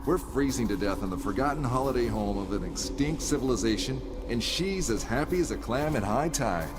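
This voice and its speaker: An actor playing a cocky, sarcastic adventurer hero with an American accent in a video game cutscene. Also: male voice, mid-range voice, expressive, a little fast, heavy background noise, close to an everyday voice. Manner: sarcastic, irreverent. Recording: loud music behind the voice.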